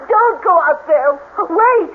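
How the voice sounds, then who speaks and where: An actress playing a strange, frightened young woman of the swamp in an old-time radio drama, pleading with dramatic pauses. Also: extremely high pitch, animated, full character voice. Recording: muffled vintage radio with heavy noise.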